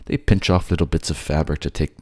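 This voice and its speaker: A man voicing a doting merman king in a romantic audio roleplay, courtly, gently teasing and indulgent. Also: a bass voice, steady tone, a little fast, no echo, clean studio sound. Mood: cheerful, fond.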